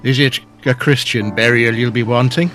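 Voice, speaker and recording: A man playing a solicitous village priest in a radio play, formal and fatherly, with a mid-pitched voice. Faint music sits behind him. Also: fairly even intonation, moderate pace, lightly acted. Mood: solemn, solicitous. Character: village priest, pious, confident, solicitous ghost investigator. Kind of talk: audio drama dialogue.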